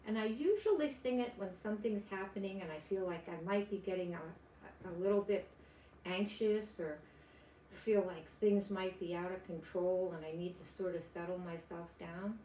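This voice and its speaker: An older woman, a grandmother storyteller, reading aloud and chatting to young children in gentle, simple phrases. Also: fairly low pitch, moderate pace. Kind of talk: children's read-aloud and chat to young listeners. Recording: echoey room with background noise.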